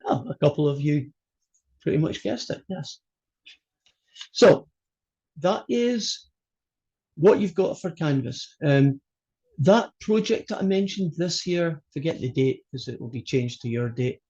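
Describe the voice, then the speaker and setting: A man's voice: on the high side, expressive, measured pace, pauses often, mellow, husky, muffled recording. A Scottish man, a college math instructor, introducing his course over a video call in a chatty, joking way. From Scotland. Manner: chatty, joking, loose with fillers. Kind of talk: online class introduction.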